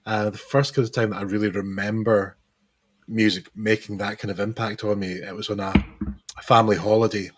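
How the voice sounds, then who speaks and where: A man with a hoarse, recovering voice, chatting as a musician guest in an interview, hesitant and full of restarts. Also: fairly low pitch, flat delivery, brisk. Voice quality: hesitant, lots of fillers and restarts. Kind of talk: casual interview chat.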